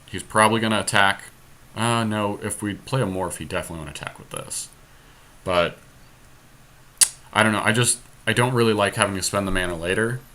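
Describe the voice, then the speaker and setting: A man with a slightly deep voice that has a bright, forward edge. He hosts a podcast and talks casually through a card game as he plays, weighing each option aloud. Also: a little fast, long pauses. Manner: thinking aloud, deliberating over options. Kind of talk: casual strategy commentary while playing a card game.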